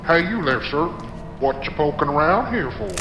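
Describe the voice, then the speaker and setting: A man playing a calm but wary old man with folksy, rustic phrasing in a noir audio drama. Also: on the high side, normal speed. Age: older. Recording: roomy, dull sound with faint noise.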